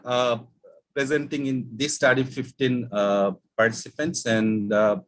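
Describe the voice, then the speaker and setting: A man, a Bangla speaker, giving an academic research presentation with many hesitant filler pauses. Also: mid-range voice, low-quality recording. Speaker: conference presenter.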